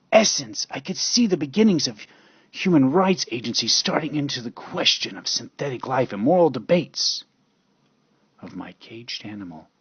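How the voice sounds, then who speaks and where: Male storyteller, husky, creaky, theatrical, brooding, first-person drama narration, muffled dry recording. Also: mid-range voice, no room sound.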